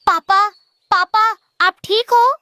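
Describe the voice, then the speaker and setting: A woman's voice: very high-pitched, slow pace, pushed and bright, heavily acted. A woman voicing a childlike, pleading little daughter bird in a cartoon. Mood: excited. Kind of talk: Hindi cartoon voice acting.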